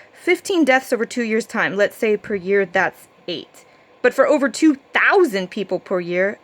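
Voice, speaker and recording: Woman, mid-pitched voice, author reading own verse, rhyming storybook recitation, rhythmic, theatrical.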